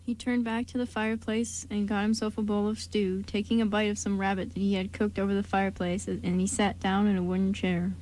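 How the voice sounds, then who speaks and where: A woman narrates a scripted story for a radio play. Her voice sits at an average pitch, with a little noise in the background. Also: little pitch movement, brisk, hardly any pauses, darkish tone, low-quality recording.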